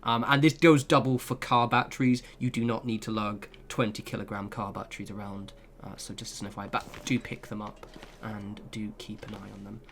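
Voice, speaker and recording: A man's voice: lowish voice, steady tone, fairly quick, fairly bright tone, a little hiss or hum. A man giving a casual, rambling walkthrough of a video game from his room at home, full of asides and hedging fillers. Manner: chatty, rambling, lots of "kind of" and "sort of". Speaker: gaming video creator. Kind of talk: casual game guide commentary. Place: home room with flatmates nearby.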